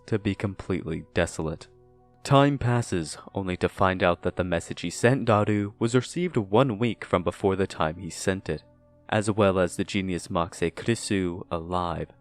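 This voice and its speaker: A man narrates a scripted review in a fairly low voice. His delivery is measured and deliberate, like an analytical essay read aloud. Music plays faintly behind him. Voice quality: low-pitched voice. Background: background music.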